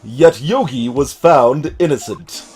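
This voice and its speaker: A man's voice: medium pitch, animated, slow pace, darkish tone, pitched below the performer's own voice. A man voicing the solemn, declaiming ghost of a murdered lawyer in a video game. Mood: solemn, eerie. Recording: music behind.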